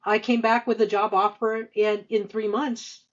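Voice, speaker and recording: A woman in her fifties answering interview questions conversationally, with halting, hesitant speech full of stammered restarts. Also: medium pitch, level tone, lo-fi sound. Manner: halting, hesitant delivery with frequent stammered repeats and long filler runs. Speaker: podcast guest, senior finance executive.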